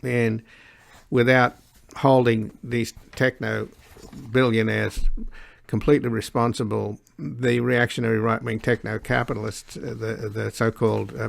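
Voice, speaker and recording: A man hosting a political interview in a gravelly, creaky voice, putting long, halting, opinionated questions. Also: medium pitch, no room sound. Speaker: interview host.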